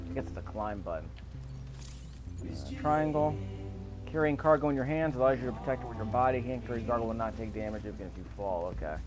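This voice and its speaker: Low-pitched man giving offhand game commentary. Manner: offhand, dryly skeptical. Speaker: gameplay video creator. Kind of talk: casual gameplay commentary.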